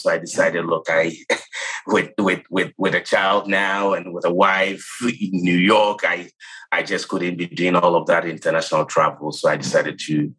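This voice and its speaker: Ghanaian man, late 30s, deep and bright; thoughtful finance guest, restarts often. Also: husky, poor audio. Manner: thoughtful, with frequent restarts and "you know". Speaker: CFO guest. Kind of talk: interview, telling his career story.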